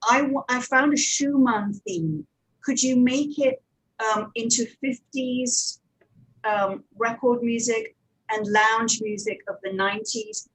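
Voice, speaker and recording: Woman, fairly high pitch, husky, conversational panel presenter, anecdotal, digressive. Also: a bit bright, rough recording. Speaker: audio drama producer and panel speaker.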